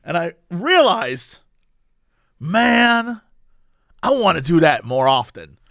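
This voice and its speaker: Animated high-voiced man chatting on a podcast. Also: slow, no room sound, studio quality. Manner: animated, rambling, playful. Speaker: podcast co-host. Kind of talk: casual comedic podcast chat.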